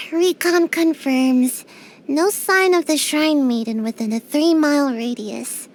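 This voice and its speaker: A woman's voice: high voice, slow pace, a little hiss or hum. A woman voicing a small, sleepy girl ninja in a video game, drowsy and sighing, always avoiding work. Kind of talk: video game character voice lines.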